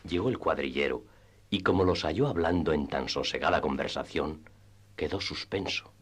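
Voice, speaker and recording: A man's voice: very deep voice, lively intonation, moderate pace, breathy edge. A man narrating a classic literary tale for radio theatre in a scripted storytelling style.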